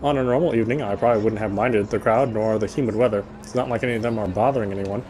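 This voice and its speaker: A man's voice: on the low side, very fast, darkish tone, a little hiss or hum, poor audio. A man playing a game on stream, reading its story narration aloud and sometimes correcting his slips. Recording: music behind the voice. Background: game music.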